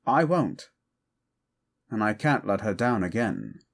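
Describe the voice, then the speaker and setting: An actor playing a guilt-ridden, vengeful prince in an audio drama, earnest and subdued. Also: male voice, fairly low pitch, very animated, takes it slowly, vocal fry, full character voice. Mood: brooding, guilty. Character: brooding wounded prince, guilt-ridden and vengeful, protective of sister.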